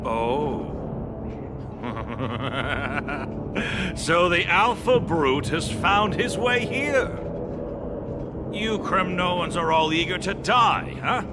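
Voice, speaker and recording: A man voicing a boastful, bloodthirsty enemy warrior in a fantasy game, gravelly, theatrical and taunting. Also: a little high, extremely slow, pauses often, loud music behind the voice. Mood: gloating. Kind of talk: fantasy video game acting.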